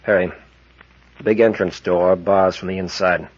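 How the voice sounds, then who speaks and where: An actor playing a decisive adventure captain in a 1940s radio serial, clipped and commanding. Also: male voice, deep voice, steady tone, moderate pace, slightly rough. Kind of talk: 1940s radio drama acting. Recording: dull vintage radio recording.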